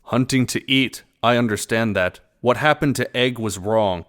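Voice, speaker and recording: A man's voice: medium pitch, fairly bright tone, close to an everyday voice. Man voicing a wry, knowing little prey creature. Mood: reflective. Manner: wry, measured, storytelling. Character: knowing, sarcastic, sassy small prey animal, world-weary and sharp. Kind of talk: animal fantasy audio drama acting.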